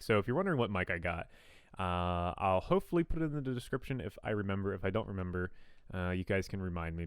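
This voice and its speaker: A young man with a low, smooth, radio-style voice talks through a game build in a relaxed, rambling way. He hedges and restarts, poking fun at his own work. It's recorded close on a good studio mic with a dry, clean sound. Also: no room sound. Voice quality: fairly deep radio-style voice. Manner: rambling, self-deprecating, frequent ums and restarts. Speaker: gaming YouTuber. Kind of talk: casual commentary while building in a game. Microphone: new studio condenser mic.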